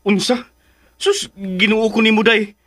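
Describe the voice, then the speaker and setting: A man's voice: high-pitched, fairly even intonation, unhurried, bright, forceful tone, exaggerated voice. A man playing an anxious, fussing uncle who stammers with worry in a radio drama. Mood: worried, alarmed. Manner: stammering, alarmed. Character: anxious, fussing uncle cautioning his niece.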